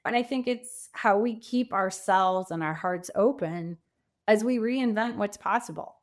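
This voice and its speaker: A woman's voice: medium pitch, unhurried. Reflective woman guest with mid-range voice. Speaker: podcast guest, coach and author. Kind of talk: conversational interview.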